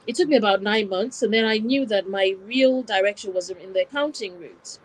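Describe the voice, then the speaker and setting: A woman's voice: mid-range voice, fairly quick, low-quality recording. A woman born in Wales and raised in Nigeria, telling her personal story step by step as an interview guest, in a reflective way. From born in Wales, raised in Nigeria from about nine.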